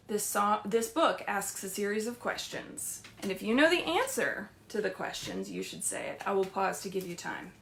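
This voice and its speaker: A woman children's librarian hosting a read-aloud story time, speaking gently and reassuringly in simple words meant for small children. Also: medium pitch, gravelly, slight background noise. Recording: roomy, echoey livestream sound.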